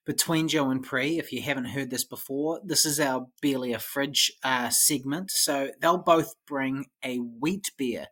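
A man's voice: mid-range voice, unhurried, few pauses, a bit bright. A man hosting a podcast, casually teasing his co-hosts, swearing, and introducing the segments. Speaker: podcast host and quizmaster. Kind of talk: casual chat.

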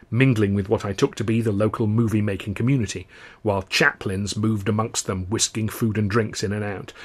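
A man's voice: fairly low pitch, husky. A man reading his own novel as a wry first-person audiobook narration.

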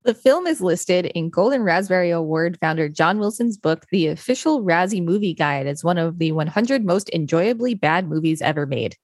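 A woman co-hosting a podcast, reading an article aloud in a steady, even way. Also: fairly low pitch, moderate pace, few pauses, dry recording, clean studio sound. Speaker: podcast co-host.

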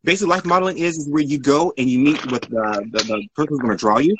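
A man's voice: fairly high pitch, fast talker, noisy background. A man being interviewed as a guest, telling stories casually in a rambling way and often correcting himself. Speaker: interview guest, art model.

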